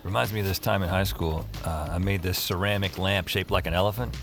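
A man's voice: low voice, fairly even intonation, brisk, music in the background. An actor playing a wry, self-deprecating young man who narrates his bewildering adventures in an audio drama. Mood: casual. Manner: casual, wry, colloquial. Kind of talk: audio fiction acting with first-person narration.

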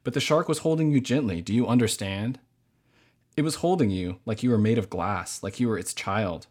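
A man speaking as a novelist guest in a measured, thoughtful way. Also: lowish voice, animated, a little mellow. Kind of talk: literary reading and thoughtful interview answers.

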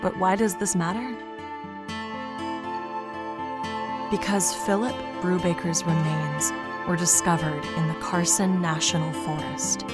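A weary, earnest investigator played by a woman narrates an audio drama in a low register. The delivery is dry and deadpan, with loud music running underneath. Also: natural voice. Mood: sober narrating. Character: weary, earnest male podcast investigator telling his strange story. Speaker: narrator and lead character.

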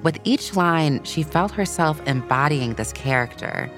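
A narrator reading a scripted children's biography in an expressive, measured storytelling way. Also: medium pitch. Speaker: podcast narrator. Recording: soft music bed behind voice. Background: background music.

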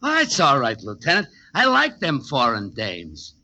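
Goofy, swooping dim-witted radio cop. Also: male voice, medium pitch, huge pitch swings, very noisy. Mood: goofy, cheerful. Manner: slow-witted, goofy, eager. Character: dim-witted, cheerful male police sergeant sidekick. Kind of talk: old-time radio comic relief acting.

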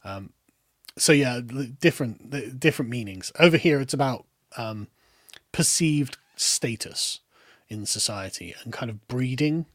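British guest, rambling talker, middling pitch, dead dry room. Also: male voice, animated, a little slow, no echo. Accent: British, lower middle class. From Britain. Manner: rambling, with many restarts and trailing off quietly. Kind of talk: casual political discussion.

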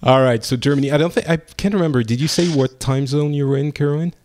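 A man's voice: on the high side, quick pace, a little mellow. A man with a French accent hosting a casual online group discussion, speaking hesitantly with many fillers and restarts. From France. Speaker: podcast host. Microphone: online call.

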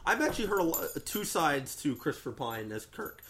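A man's voice: a little high, very animated, fairly quick, a bit bright, slight background noise. A man hosting a podcast, chatting casually and enthusiastically with many fillers and restarts. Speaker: podcast host. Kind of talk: casual movie review chat.

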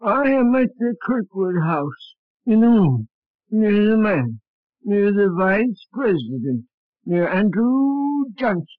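Casting: scared little plotter, 1950s radio drama, high slow repetitive delivery, thin muffled old broadcast. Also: male voice, sing-song, dry recording, heavily acted. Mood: nervous refusal. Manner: halting, repetitive, giggly. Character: frightened giggling little man, nervous reluctant conspirator. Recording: muffled old radio recording, narrow band.

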